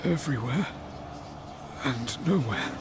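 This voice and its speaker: An actor playing a frail, cryptic elf sage near death in a fantasy game, speaking in weak, halting, fading gasps. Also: male voice, medium pitch, animated. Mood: feeble, fearful. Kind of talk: dramatic video game voice acting. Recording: loud music over dialogue.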